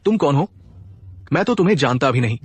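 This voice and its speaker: A man's voice: fairly high pitch, animated, fast talker, soft background music, close to an everyday voice. An actor playing an arrogant young man caught as a cheat, defensive and evasive in a dubbed drama. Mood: flustered. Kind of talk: Hindi dub of a short drama. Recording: faint noise behind.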